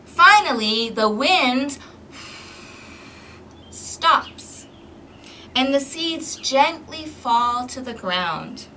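Woman, children's storytime host, bright animated delivery, expressive and engaging with young listeners, miked from a distance outdoors. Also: high voice, extremely slow, long pauses. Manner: expressive, clearly paced, questioning as if to young children. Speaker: storytime host for a community service. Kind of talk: interactive children's picture book read-aloud. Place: outdoor balcony. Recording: distant mic with outdoor background noise.

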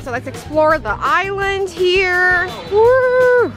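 A woman in her thirties vlogging excitedly on an outdoor beach, squealing, giggling and whooping often. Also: very high-pitched, takes it slowly. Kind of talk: excited travel vlog. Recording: loud background music.

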